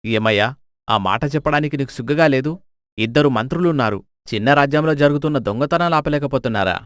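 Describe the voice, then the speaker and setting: A man's voice: medium pitch, fast, dry recording, clean studio sound, natural voice. Man voicing a stern, scornful storybook king. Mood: angry, threatening. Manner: commanding, scornful. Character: stern, exasperated king scolding his ministers. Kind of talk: dramatised folk tale dialogue.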